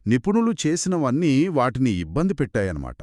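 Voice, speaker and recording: A man's voice: medium pitch, huge pitch swings, brisk, a little mellow, no room sound, clean studio sound. A man co-hosting a conversational story discussion in an analytical, explanatory way. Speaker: podcast co-host.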